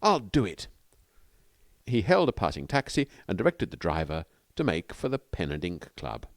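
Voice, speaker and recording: A man's voice: low-pitched, big pitch swings, brisk, dry recording, clean studio sound. A man reading a comic novel aloud as scripted audiobook narration.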